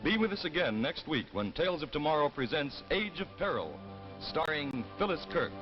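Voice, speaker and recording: Casting: formal fifties TV announcer, man, higher-set voice, measured scripted read, muffled vintage sound over music. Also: big pitch swings. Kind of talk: 1950s broadcast announcing, scripted.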